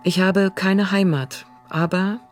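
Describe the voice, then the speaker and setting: A voice-over reader performing prose excerpts in a quiet, reflective, unhurried way. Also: mid-range voice, fairly even intonation, faint music behind. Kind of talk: literary reading of prose excerpts.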